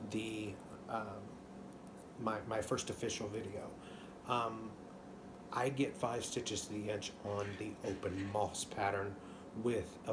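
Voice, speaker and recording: Texan guy kinda hoarse, lowish voice, rambly chat at home, hum in the room. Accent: Texan, mostly masked. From Texas. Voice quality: slightly hoarse. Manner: rambling, many ums and restarts, knitting while talking. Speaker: knitting channel host. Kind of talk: casual chat to camera. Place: living room couch at home.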